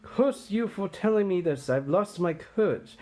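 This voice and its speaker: Man acting a defiant doomed king, animated voice. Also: high-pitched, measured pace, pitched above the performer's own voice.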